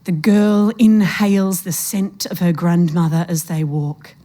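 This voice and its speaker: Dark-toned, measured woman reading fiction. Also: lowish voice. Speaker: short story narrator. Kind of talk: literary story reading, suspenseful.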